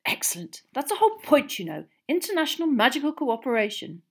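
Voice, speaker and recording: A woman's voice: high-pitched, very animated, brisk, breathy edge, pitched above the performer's own voice, full character voice. A woman voicing a self-important, officious young man with a pompous, fussy manner for an audiobook.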